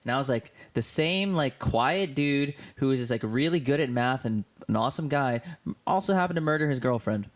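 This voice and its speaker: A man's voice: medium pitch, animated, fairly quick. Rambling young man telling a story. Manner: rambling storyteller, lots of fillers. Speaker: podcast co-host. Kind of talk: casual chat. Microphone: budget home mic, being upgraded.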